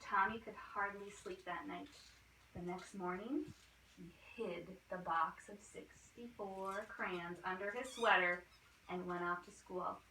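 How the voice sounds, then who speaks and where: A woman's voice: mid-range voice, steady tone, unhurried, bright, forceful tone. A woman schoolteacher reading a picture book aloud expressively and prompting with questions in a teacherly way. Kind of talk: storybook read-aloud with questions to a child. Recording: roomy, distant microphone, faint noise.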